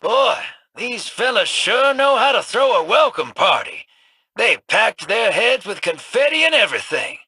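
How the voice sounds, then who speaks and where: A folksy, sardonic gunslinger drawling. His voice is bright and cutting with a husky grain, bouncing lively up and down. It sits dry and close with no room around it. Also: high voice, measured pace, dry recording, exaggerated voice. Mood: wry. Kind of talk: game character voice lines.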